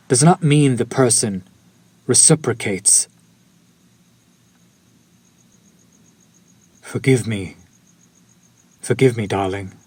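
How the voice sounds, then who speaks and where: A man's voice: mid-range voice, a little mellow, husky, no echo. A man playing a courteous, lovesick, centuries-old vampire in an intimate audio roleplay, with formal, old-fashioned and pleading speech. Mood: apologetic, yearning. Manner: formal, archaic, ornate, apologetic phrasing. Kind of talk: scripted romantic audio roleplay, intimate direct address.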